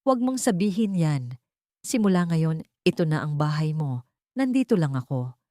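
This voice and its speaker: An earnest, steadfast young man in an audio drama, read by a woman. He is devoted, with a mellow tone and a dry, close sound. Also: deep voice, big pitch swings, normal speed, no room sound. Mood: gentle, reassuring.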